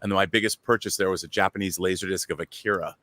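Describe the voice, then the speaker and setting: Low-voiced middle-aged man telling anecdotes. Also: steady tone, fast talker, bright, forceful tone, no room sound. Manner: enthusiastic, detailed storyteller. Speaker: panel guest. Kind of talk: casual anecdotal talk.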